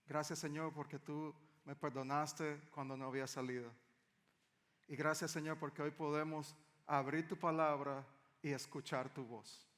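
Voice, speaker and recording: A man's voice: on the high side, little pitch movement, normal speed, fairly bright tone. A man from the Dominican Republic with a Dominican accent, preaching a sermon as a pastor in a conversational way, often posing questions to the congregation. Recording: echoey hall with long reverb.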